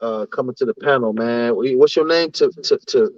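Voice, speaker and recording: A man's voice: mid-range voice, normal speed, dry recording, highs cut off. A man hosting a casual livestream, reading out names from the chat and often tagging his lines with "you know what I'm saying". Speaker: livestream host.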